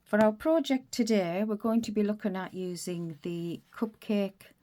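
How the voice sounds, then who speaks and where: A woman's voice: mid-range voice, measured pace, mellow. A woman giving a casual crochet tutorial, stuffy from a cold and chatty, wandering off mid-sentence. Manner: chatty, rambling, self-interrupting.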